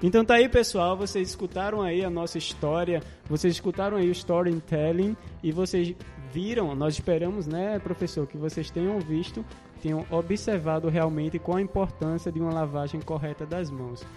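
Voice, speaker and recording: A man's voice: fairly high pitch, normal speed, a little mellow. A man with a Northeastern Brazilian accent hosting an educational podcast, addressing listeners directly in a casual, wordy way. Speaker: podcast host. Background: music.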